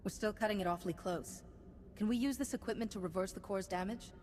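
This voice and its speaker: A woman acting a resolute, mid-pitched game heroine. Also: fast talker, a little mellow, a little hiss or hum, natural voice. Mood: businesslike. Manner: direct, assured. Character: resolute, wry Republic heroine taking charge. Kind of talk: video game cutscene acting.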